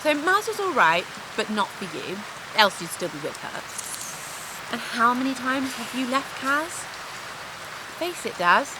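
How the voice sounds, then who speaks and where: A high, very animated British woman played by an actress in a radio comedy drama. She is a blunt, prodding workmate who cares more than she admits. Steady rain and hiss sit behind her. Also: unhurried. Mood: earnest, exasperated. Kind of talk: naturalistic audio comedy drama.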